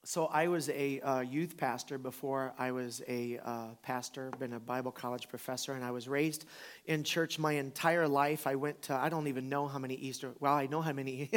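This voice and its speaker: A man's voice: mid-range voice, steady tone, normal speed. A man in his forties from the American South, a pastor giving an informal, conversational sermon with emphatic repetition. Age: 40s. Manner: informal, emphatic, repeats phrases for effect.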